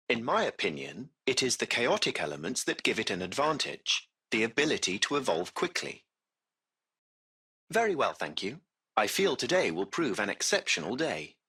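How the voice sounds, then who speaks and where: A crisp-edged, forceful yet impeccably courteous machine chauffeur from a video game. The delivery is formal and precise with a lively, varied melody, and the voice carries a gravelly creak. The character sounds curious and butler-like, choosing words with care. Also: male voice, medium pitch, heavily acted. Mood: measured, polite. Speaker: AI driver of a cab service.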